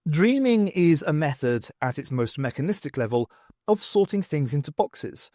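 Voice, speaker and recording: Animated male audiobook narrator, dry close sound. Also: a little high, dry recording. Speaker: audiobook reader. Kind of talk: scripted narration of a science fiction novel.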